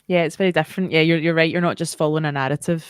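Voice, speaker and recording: A woman's voice: sits low, steady tone, very fast, clear, resonant tone, dry recording, clean studio sound. A woman chatting casually, responding in an agreeable, supportive manner.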